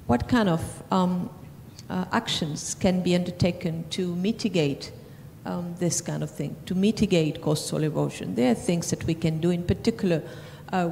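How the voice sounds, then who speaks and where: A woman with a French accent answering as a panelist at a conference, measured and full of ums and restarts. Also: on the low side, creaky, a little mellow. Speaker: panelist from a regional organization. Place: large conference hall. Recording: distant hall reverb, faint noise.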